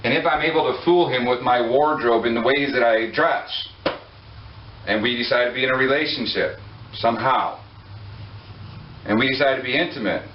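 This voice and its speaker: A man's voice: mid-range voice, moderate pace, pauses often, slight background noise. A man preaching a sermon in a conversational way, joking and putting questions to his listeners. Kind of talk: sermon to a congregation. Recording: echoey room, far from mic, dull lo-fi.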